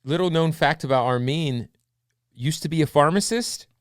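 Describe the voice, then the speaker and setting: A man's voice: fairly high pitch, no room sound, studio quality. A man hosting a podcast, asking questions in casual chat and agreeing easily with "right, right, right.". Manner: easygoing, quick backchannel agreement. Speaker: podcast host. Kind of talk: casual interview conversation.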